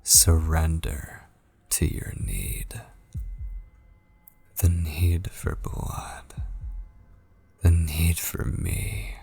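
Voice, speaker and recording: A man playing a possessive, obsessed kidnapper in an intimate ASMR roleplay, coaxing with menacing tenderness in a breathy voice that drifts toward whispers. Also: extremely low pitch, lively intonation, dark tone, heavily acted. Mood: hushed, coaxing. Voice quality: breathy, husky. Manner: slow, coaxing, menacingly tender, lingering on phrases. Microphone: close studio microphone.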